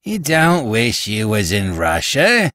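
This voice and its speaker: A man's voice: lowish voice, huge pitch swings, takes it slowly, bright, forceful tone, no echo, full character voice. A man voicing a blunt, argumentative London cabman with a needling, scornful manner for a storybook.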